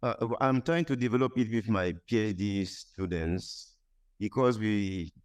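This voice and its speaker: A man's voice: fairly low pitch, a little slow, a little mellow, smooth. A man answering on a conference panel, hesitant, with many fillers and restarts.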